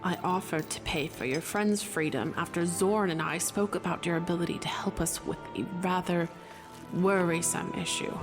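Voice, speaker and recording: Low, commanding dwarf woman marshal, played by an actress. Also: moderate pace, close to an everyday voice. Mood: grave, troubled. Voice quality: strong, carrying. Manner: commanding, scornful, formal. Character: stern proud female dwarf marshal of the royal guard. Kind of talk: fantasy audio drama acting. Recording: music under the voice.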